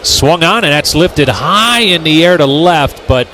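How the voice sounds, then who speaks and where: Guy doing baseball play by play on radio, animated delivery, stadium noise in back. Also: fairly high pitch, a little slow. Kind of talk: live sports commentary. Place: ballpark broadcast booth. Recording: ballpark outdoor background noise. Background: ballpark ambience, noise.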